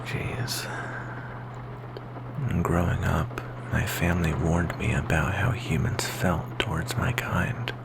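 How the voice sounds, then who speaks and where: A deep, heavily creaky male voice , an actor playing an insecure, devoted vampire boyfriend in an intimate ASMR roleplay. He half-whispers at a slow, hesitant pace, soft and melancholy. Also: flat delivery, heavily acted. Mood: anxious, insecure. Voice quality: whispery, gravelly.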